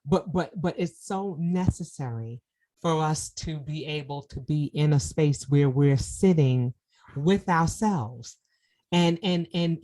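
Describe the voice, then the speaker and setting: A woman's voice: deep voice, dark tone. A middle-aged woman hosting a podcast interview, talking casually and affirming her guest, often repeating words and saying "you know". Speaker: podcast host.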